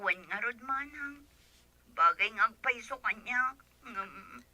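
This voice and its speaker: A woman's voice: fairly high pitch, takes it slowly, bright, forceful tone, no room sound, heavily acted. A woman playing a hurt younger woman who has been jilted, plaintive and confiding, in an Ilocano comedy radio drama with an Ilocano Filipino accent. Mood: hesitant.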